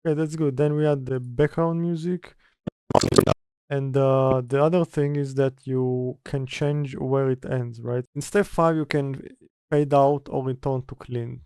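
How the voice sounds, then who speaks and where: A man giving a casual software tutorial, hesitant and thinking aloud as he works. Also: mid-range voice, steady tone, a little slow, clear, resonant tone, no room sound. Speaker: tutorial presenter. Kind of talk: casual software tutorial, talking through steps.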